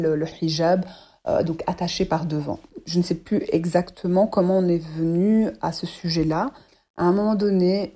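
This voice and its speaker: A woman of about thirty from Belgium, recounting a memory slowly with hesitations. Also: lowish voice, mellow. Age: 30s. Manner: slow, hesitant. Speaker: testimony guest. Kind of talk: personal testimony.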